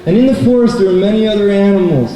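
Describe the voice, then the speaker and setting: A man presenting a children's puppet show, telling a story deliberately to a live audience and putting questions to the kids. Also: sits high, warm tone. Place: indoor bookstore event, live. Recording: echoey room, distant mic, faint noise.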